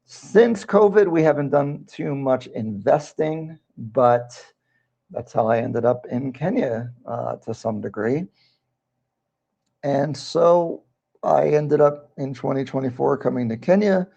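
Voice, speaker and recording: Gravelly-voiced man chatting on a livestream. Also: medium pitch, takes it slowly, warm tone, dull audio. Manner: rambling, conversational, reads viewer comments aloud. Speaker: livestream host, real estate broker. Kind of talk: casual livestream chat.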